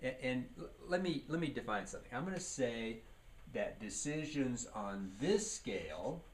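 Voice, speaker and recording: A man's voice: medium pitch, expressive, moderate pace. Male leadership trainer, noisy recording. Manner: didactic, poses questions and answers them. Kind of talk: training lecture.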